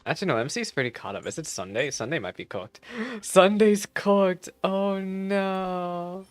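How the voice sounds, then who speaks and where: A man's voice: high-pitched, animated, unhurried, no echo, soft background music. A man giving casual, teasing live reactions as a game streamer, chatty and joking.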